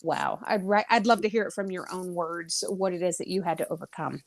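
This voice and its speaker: A woman's voice: fairly low pitch, brisk. A woman hosting a casual, chatty interview in an effusive, exclaiming way. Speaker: podcast host and interviewer.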